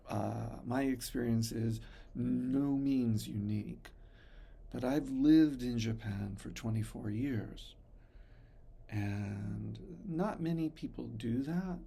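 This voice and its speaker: A man in his fifties from the United States, talking slowly and thoughtfully to his son in a reflective personal vlog. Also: medium pitch, creaky, slightly rough. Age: 50s. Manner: slow, thoughtful, pausing often, soft-spoken. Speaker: father recording messages for his son.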